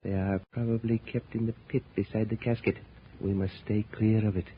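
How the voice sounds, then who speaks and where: Man acting a calculating male schemer, deep voice, formal phrasing, commanding, old radio drama, dull hissy sound. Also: little pitch movement, brisk, close to an everyday voice. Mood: cool, scheming. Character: scheming, commanding treasure-hunting conspirator, coolly calculating. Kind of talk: 1930s radio adventure serial acting. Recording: muffled, noisy 1930s radio recording.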